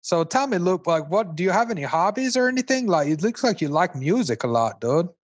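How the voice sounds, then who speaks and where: A man acts out an easygoing slacker dude hosting a comedy talk show. His voice sits fairly high and sounds dry and close. He is laid-back yet enthusiastic, chatting with his guest like a pal. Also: sing-song, brisk, no room sound. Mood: chummy, boastful. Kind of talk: mock interview on a comedy radio show.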